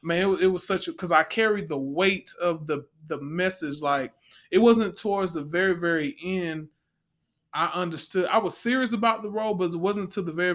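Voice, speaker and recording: A man speaking in a heartfelt, conversational way as a panel guest, earnest and reflective, often pausing to restate his phrases. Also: fairly high pitch, fairly bright tone. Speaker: stage play actor, panel guest.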